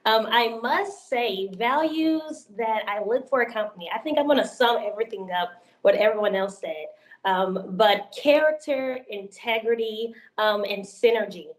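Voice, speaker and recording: A young woman speaking as a panelist on a video call, enthusiastic, with many pauses and "um"s. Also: on the high side, animated, echoey room. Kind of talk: virtual panel discussion.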